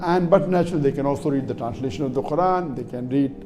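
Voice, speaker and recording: A man gives a religious lecture, speaking deliberately and emphatically in short, repeated phrases. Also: mid-range voice. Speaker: Islamic preacher answering a religious question. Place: indoor studio set.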